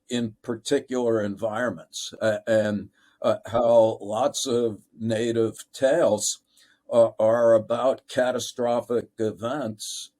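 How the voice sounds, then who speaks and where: A man moderating a discussion, speaking in a halting, academic way with learned wording. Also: on the low side, a little mellow. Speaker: panel moderator.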